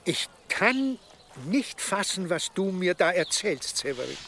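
An actor playing a haughty, perturbed medieval churchman of high rank in a radio drama, formal and pompous. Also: very animated, unhurried, pushed and bright, slight background noise, exaggerated voice. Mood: appalled, concerned. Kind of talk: German radio drama acting. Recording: clear outdoor nature sounds behind.

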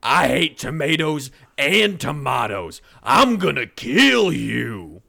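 A man voicing a hot-tempered brutish male berserker who shouts gruffly. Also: medium pitch, big pitch swings, measured pace. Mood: enraged.

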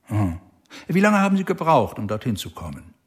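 A man's voice: medium pitch, sing-song, normal speed, lightly acted. An actor playing a skeptical male detective who questions a suspect with a probing, doubting manner. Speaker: police detective.

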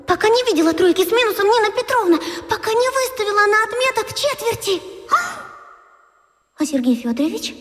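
An actress playing an anxious schoolgirl who talks to herself in agitated, repeated phrases in a children's radio drama. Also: very high voice. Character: anxious schoolgirl, top pupil and class monitor, panicking. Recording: long hall-like reverb.

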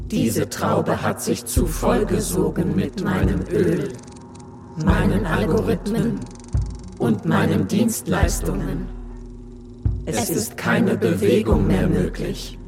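A man voicing an all-ruling machine in a radio play, proclaiming in a solemn, breathy whisper. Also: sits high, heavily acted. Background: loud music.

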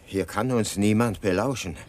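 An actor playing a furtive, coolly calculating husband in a German radio crime play, often muttering clipped thoughts to himself. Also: male voice, lowish voice, normal speed, close to an everyday voice. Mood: tense, urgent. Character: furtive, tense husband, polite in public, coolly calculating murderer. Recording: noisy 1980s radio drama production.

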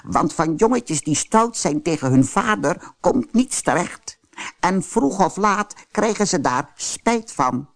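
Man voicing a high-pitched, preachy old talking cricket. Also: expressive, slow, gravelly, exaggerated voice. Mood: solemn warning. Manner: solemn, scolding, foreboding. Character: stern old talking cricket, over a hundred, warning.

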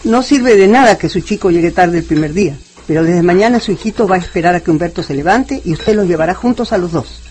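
A woman's voice: lowish voice, expressive, fast, darkish tone, close to an everyday voice. An actress playing a haughty, bossy wealthy woman in a radio drama, curt and commanding. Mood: imperious.

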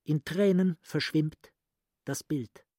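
A man's voice: fairly high pitch, slow pace. A male actor playing a cultured, introspective psychiatrist in a literary radio play. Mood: pensive. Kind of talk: literary radio drama monologue.

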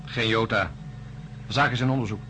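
An actor playing a seasoned astronaut in a science fiction radio drama, brisk, matter-of-fact and wry. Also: male voice, on the low side, natural voice. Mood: brisk, businesslike. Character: seasoned, brisk male astronaut in charge aboard spacecraft. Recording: noisy drama recording with cabin ambience.

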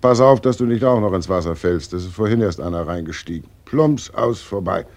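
An actor playing Death as a fat, morbidly jovial undertaker in a radio play, gravelly and very deep, offhand and poetic in manner. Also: male voice, animated, fairly quick, darkish tone. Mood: breezy, dismissive. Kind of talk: post-war German radio play acting.

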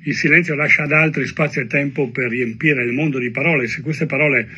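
A man, a journalist, delivering a scripted monologue with emphatic, deliberate repetition, heard from a lo-fi video playback. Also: medium pitch, fairly even intonation, bright, forceful tone, breathy edge. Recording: dull lo-fi video playback, background noise.

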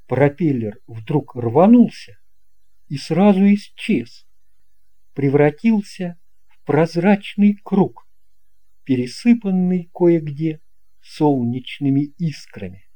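Very slow, mellow male storyteller. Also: fairly high pitch. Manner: slow, measured, expressive reading. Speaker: audiobook narrator. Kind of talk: scripted storytelling narration of a children's tale.

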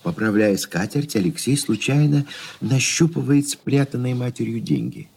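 A man's voice: medium pitch, big pitch swings, normal speed, gravelly, a little mellow, husky. A man reading scripted stage directions as the narrator of a radio drama.

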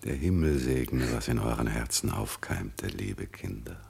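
An actor playing a wise, watchful older magician father in a German radio drama, musing in a measured, solemn way. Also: rumbling low, lively intonation, vocal fry, a little mellow, husky, exaggerated voice. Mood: solemn blessing. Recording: long reverberant echo.

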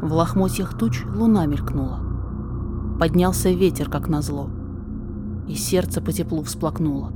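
Low-voiced woman narrating dramatic verse. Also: background noise. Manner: theatrical, deliberate. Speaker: podfic reader. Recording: music behind the voice.

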